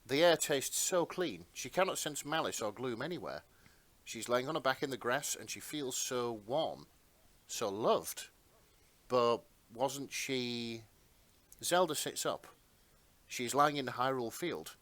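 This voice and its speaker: Man, amateur story narrator, dramatic reading, highly varied intonation, mid-range pitch. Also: lively intonation, a little slow, fairly bright tone. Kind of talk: dramatic narration and casual banter.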